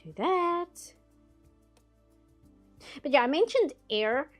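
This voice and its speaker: A chatty woman from Britain talks while she draws, wandering from topic to topic and laughing often. Her voice sits a little high and is turning slightly hoarse late at night. Faint music plays quietly behind her. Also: big pitch swings, slow pace. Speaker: streamer drawing on stream. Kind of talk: casual chat while drawing.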